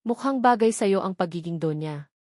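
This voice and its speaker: A woman's voice: medium pitch, expressive, normal speed, darkish tone, no room sound, studio quality, close to an everyday voice. A woman voicing a devoted, tender young man in a romantic drama story.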